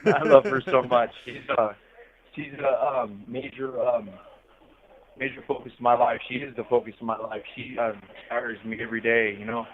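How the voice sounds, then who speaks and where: Middle-aged male prison caller, halting and reflective, heard through a narrow, muffled phone line. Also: mid-range voice, steady tone. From Southern California. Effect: telephone line. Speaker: incarcerated interview guest calling from prison. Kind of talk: casual personal storytelling and reflection. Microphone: telephone handset.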